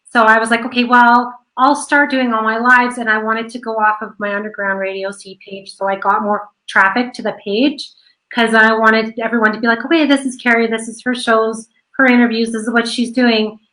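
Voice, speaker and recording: A woman hosting an online radio interview, chatty and quick, laughing often. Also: on the high side, fairly even intonation, sharp tone, rough recording. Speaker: host of an online radio show. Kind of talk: casual chat.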